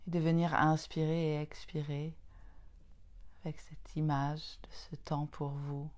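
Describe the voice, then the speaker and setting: A woman leading a guided yoga nidra relaxation in a soothing, unhurried manner, in short phrases separated by long pauses. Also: sits low, flat delivery, darkish tone.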